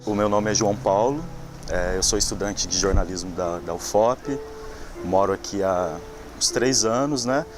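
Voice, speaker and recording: A young man, a journalism student giving a reflective personal testimony in a halting way, with many fillers. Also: fairly low pitch, over music. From southern Minas Gerais, Brazil. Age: young adult.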